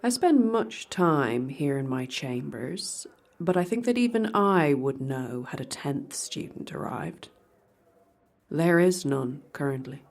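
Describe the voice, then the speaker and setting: A scornful, world-weary woman with glowing red eyes, played by a woman, her words a gravelly, breathy whisper. She drawls slowly and deliberately, every line dripping with disdain, in a fan audio drama. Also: deep voice, expressive, exaggerated voice. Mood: cool. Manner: cutting, contemptuous, slow and deliberate.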